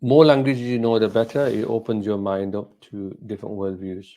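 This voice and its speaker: A middle-aged man whose mother tongue is Gujarati, talking informally as a scholar answering questions, in a halting, reflective way that repeats phrases. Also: fairly low pitch, flat delivery, warm tone. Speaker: Islamic scholar hosting a question and answer session.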